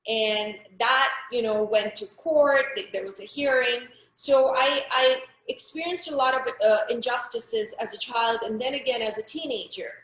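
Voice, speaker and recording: A middle-aged woman from Pakistan talks about her life in an earnest, frank way, often restarting a sentence halfway through. Her voice sits fairly high, and the room around her is echoey. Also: normal speed, few pauses, a bit bright. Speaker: interview guest, activist. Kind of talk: personal testimony in an interview.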